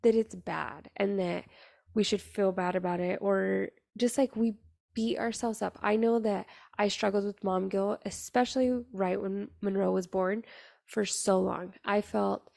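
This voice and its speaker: A young woman hosting her podcast, talking in a raspy voice with an earnest, chatty manner full of fillers. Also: mid-range voice, fairly even intonation, unhurried, darkish tone, no room sound, studio quality. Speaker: podcast host. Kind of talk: casual solo podcast monologue.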